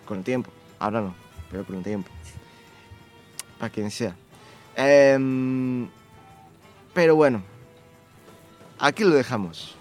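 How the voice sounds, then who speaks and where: A man's voice: mid-range voice, takes it slowly, long pauses, faint music behind. A man from Spain with a Castilian Spanish accent, co-hosting a casual radio show with wry, rambling asides. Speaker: radio co-host.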